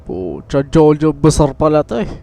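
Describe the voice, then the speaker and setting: A man's voice: a little high, lively intonation, moderate pace, vocal fry, mellow. A young man with a Filipino accent giving casual, slangy, swearing gameplay reactions. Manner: slangy, profane, repeats game dialogue. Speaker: gaming streamer. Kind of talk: casual game reaction commentary. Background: game music.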